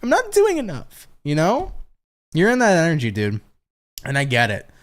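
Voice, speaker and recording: A man's voice: on the high side, huge pitch swings, normal speed. A tired man reading tarot on a casual livestream, chatty and profane, laughing often and answering viewer comments. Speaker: tarot reader hosting a livestream.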